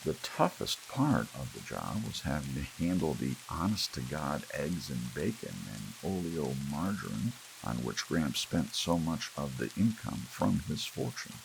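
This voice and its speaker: A man reading a story aloud as an audiobook narrator, in a relaxed bedtime style. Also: a bass voice, unhurried, vocal fry, hardly any pauses, poor audio. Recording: faint hiss and rain sound. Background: soft rain.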